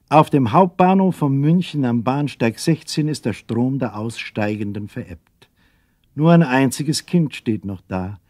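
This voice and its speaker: A man narrating a children's radio play as a storyteller, in a measured, deliberate manner. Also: mid-range voice, mellow, no room sound, clean studio sound. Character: calm male storyteller addressing young listeners.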